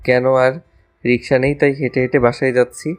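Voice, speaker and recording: A man's voice: medium pitch, flat delivery, fairly quick, soft background music, natural voice. A man playing a lovestruck, casual young college student in a romantic audio story.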